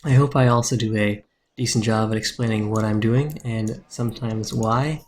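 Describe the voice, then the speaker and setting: A man with a soothing voice streaming a strategy game live, calmly explaining his moves and answering chat. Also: lowish voice, normal speed, a little hiss or hum.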